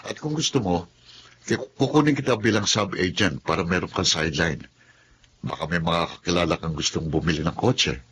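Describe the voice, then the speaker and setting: A man voicing a generous, loyal best friend in a radio drama, speaking calmly and in a measured way. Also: low-pitched, a little hiss or hum.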